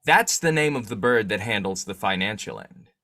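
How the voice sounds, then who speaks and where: A man playing a shrewd, determined newspaper boss and secret vigilante in a 1940s-style radio drama, musing deliberately. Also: fairly low pitch, expressive, bright, forceful tone. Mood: scheming, musing. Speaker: actor in an old-time radio drama revival.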